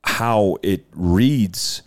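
A man's voice: lowish voice, extremely slow, dry recording, studio quality. A man hosting a casual interview, reacting with enthusiasm and trailing off mid-sentence. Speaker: podcast host.

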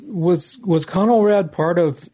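A man's voice: high-pitched, takes it slowly, dark tone, no room sound. A man with an American accent hosting a podcast in a casual, rambling, folksy way. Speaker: podcast host.

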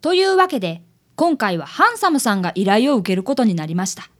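A woman's voice: a little high, very animated, brisk. A woman playing an earnest fifth-grade boy, an apprentice detective in a radio drama, who speaks and narrates politely. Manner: polite, explanatory.

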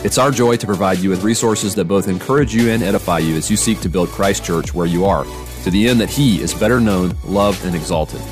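Over clearly audible music, a man with a low voice reads a scripted ministry announcement. He moves along quickly as a promotional announcer. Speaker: announcer for a ministry podcast network.

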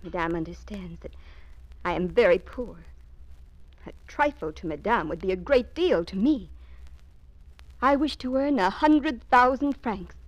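A woman's voice: medium pitch, unhurried, long pauses, lightly acted. Actress as a poor, scheming young girl. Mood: calculating. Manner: calm, polite, quietly scheming. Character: poor, beautiful young cleaning girl, calm and calculating.

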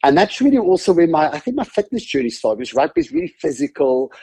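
Husky South African man, chatty. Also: on the high side, wildly swooping pitch, quick pace, mellow. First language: Afrikaans. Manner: chatty, loose storytelling with many fillers. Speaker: podcast guest. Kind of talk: casual interview conversation.

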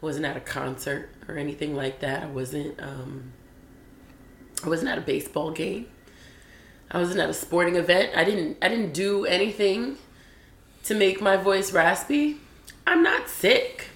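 A woman with a raspy voice co-hosting a podcast, chatting casually and laughing often. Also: lowish voice, measured pace, reverberant. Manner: laid-back, laughing often. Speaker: podcast co-host. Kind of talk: casual chat.

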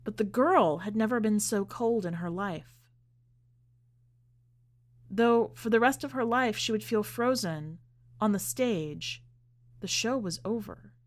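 A woman podcast host narrating and commenting on literary fiction in a measured, expressive manner. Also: medium pitch. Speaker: podcast host and story reader.

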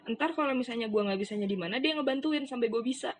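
A woman's voice: on the high side, fast talker. A young woman, chatting casually and telling stories in slangy speech. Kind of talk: casual chat.

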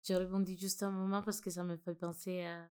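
A woman's voice: lowish voice, level tone, fairly quick, darkish tone, clear, resonant tone. Woman, from Italy, interviewer, attentive, short affirmations, conversational.

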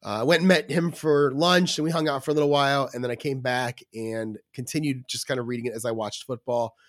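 A man's voice: medium pitch, fairly even intonation, a little fast, a bit bright, no echo, studio quality. Man with a scratchy cold voice. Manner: rambling, lots of fillers and restarts. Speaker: podcast co-host. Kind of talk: casual chat.

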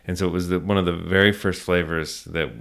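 A man's voice: deep voice, little pitch movement, fairly quick, gravelly, a bit bright. A middle-aged American man telling a story conversationally in an interview, earnest and reflective, circling back over phrases for emphasis. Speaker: small business owner being interviewed.

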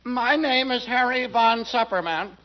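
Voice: mid-range voice, measured pace, lightly acted. Casting: actor playing a stiff, boastful toddler genius, old radio, heavy hiss. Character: pompous two-year-old boy genius. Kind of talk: 1940s radio comedy acting. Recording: dull old radio broadcast with loud noise.

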